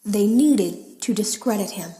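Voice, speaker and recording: An actress as a worried female game tester leaving secret audio logs in a horror game. Her medium-pitched voice is grave and confiding, with measured phrasing. The recording has a strong roomy echo. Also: expressive, dark tone, close to an everyday voice. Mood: indignant, urgent. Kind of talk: scripted audio log narration.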